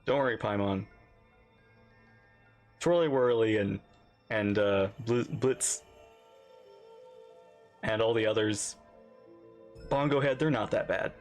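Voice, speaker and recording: A man's voice: on the low side, takes it slowly, pauses often. A man giving casual live reaction commentary as he streams a video game. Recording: game music behind the voice.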